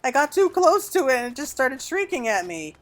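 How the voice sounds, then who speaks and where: A woman giving casual, exclamatory gameplay commentary in an animated way. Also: high-pitched, a little fast. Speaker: gaming video creator. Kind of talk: casual gameplay commentary with reading aloud of game dialogue.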